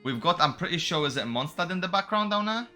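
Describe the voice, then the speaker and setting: Bright-voiced young man, casual game commentary. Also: high voice, lively intonation, quick pace, faint music behind. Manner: informal, slangy, musing aloud. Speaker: game streamer. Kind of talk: casual gameplay commentary, reading dialogue aloud.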